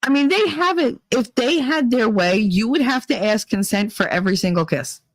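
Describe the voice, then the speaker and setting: A woman's voice: fairly high pitch, animated, normal speed, dry recording. Blunt, profane woman ranting her opinions. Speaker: vlog host. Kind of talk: casual opinion monologue.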